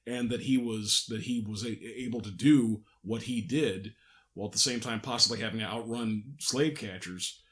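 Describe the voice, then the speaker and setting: A man hosting a podcast in casual conversation, explaining things haltingly and often correcting himself. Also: fairly low pitch. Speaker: podcast host, audio drama producer. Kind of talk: casual behind-the-scenes podcast chat.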